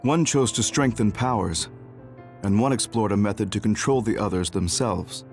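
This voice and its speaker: An actor playing a grave, composed double agent in a video game dub, speaking in a measured, serious, formal way. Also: male voice, lowish voice, soft background music, close to an everyday voice. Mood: serious, explaining.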